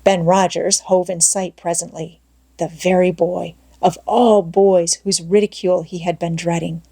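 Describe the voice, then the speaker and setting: A woman narrating an audiobook, reading a scripted story aloud in a measured, expressive way. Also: on the low side, slightly rough.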